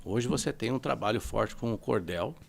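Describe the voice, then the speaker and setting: A man's voice: on the low side, moderate pace, vocal fry, a little hiss or hum. A man hosting a podcast, asking curious questions and adding short affirmations. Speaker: podcast host. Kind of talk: casual podcast interview.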